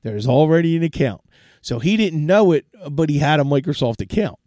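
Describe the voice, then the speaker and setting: Hoarse man hosting a tech show. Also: a little high, expressive, brisk, mellow, dry recording, studio quality. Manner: chatty, advice-giving, restarts sentences. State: sore throat, losing his voice. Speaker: tech podcast host. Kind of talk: casual solo tech talk.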